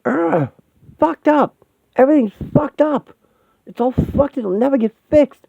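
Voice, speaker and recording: Frantic runaway teenage boy acted by a male narrator, raised pitch, foul-mouthed, agitated, thin phone-like audio. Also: lively intonation, normal speed, vocal fry, no echo. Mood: despairing. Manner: agitated, sweary, pleading outbursts.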